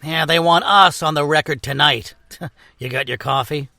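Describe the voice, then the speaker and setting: A gruff, blunt senior police officer in an audio drama. His voice is sharp and forceful, sitting a little high for a man. He is brusque and prejudiced, recorded close and dry. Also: normal speed, no room sound. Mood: businesslike.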